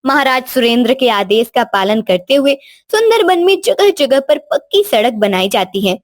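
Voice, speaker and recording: High-pitched woman narrating a story. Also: lively intonation, moderate pace, no echo, clean studio sound.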